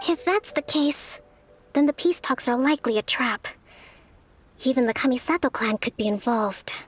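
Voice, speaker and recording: Game role: gentle but firm young leader, high voice, measured formal delivery. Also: female voice, lively intonation, husky, faint music behind. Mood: grave, measured.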